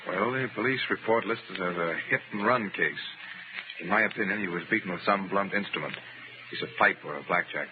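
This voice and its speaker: An actor playing a grave hospital doctor in 1930s radio drama, clipped and matter-of-fact. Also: male voice, deep voice, a little fast, lightly acted. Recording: tinny, noisy 1930s radio recording.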